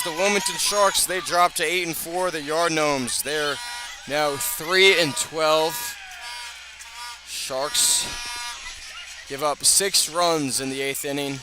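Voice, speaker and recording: A man's voice: fairly high pitch, rough recording. A man doing live radio baseball play-by-play in short, clipped phrases. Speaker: sports play-by-play announcer.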